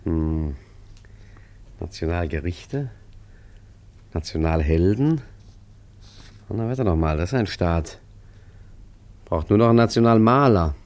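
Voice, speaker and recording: An actor playing a cheeky, suave art forger, narrating and acting in a German radio play with theatrical, ironic eloquence. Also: male voice, sits low, lively intonation, a little slow, long pauses, a little mellow. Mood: cheeky, wry.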